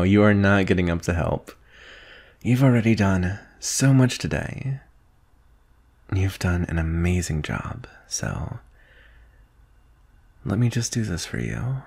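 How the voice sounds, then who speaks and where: A man acts out a shy, adoring young male best friend in a boyfriend ASMR roleplay. His voice is low and soft. He speaks slowly and hesitantly, with a nervous, tender manner. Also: exaggerated voice. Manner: hesitant, soft-spoken, breathy sighs and nervous chuckles. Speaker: audio roleplay performer. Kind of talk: boyfriend roleplay ASMR, intimate scripted acting.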